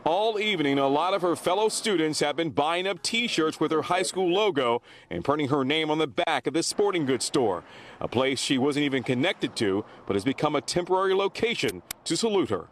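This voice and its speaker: A man's voice: fairly high pitch, lively intonation, fairly quick, slight background noise. A man with an American accent delivering a scripted television news report.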